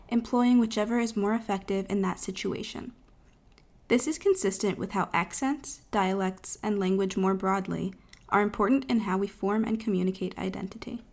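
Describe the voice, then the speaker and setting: Measured woman podcast narrator. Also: mid-range voice, darkish tone. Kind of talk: scripted narration.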